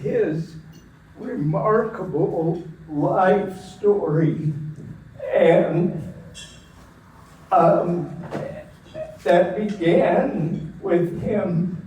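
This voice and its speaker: Brief: a man hosting a church talk, very slow delivery, roomy distant recording with faint background noise. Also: high voice, a little mellow. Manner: slow, deliberate speaker addressing a room. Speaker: interviewer at a church men's gathering. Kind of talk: live introduction to an audience. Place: large echoey meeting room. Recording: echoey room from far off, faint noise.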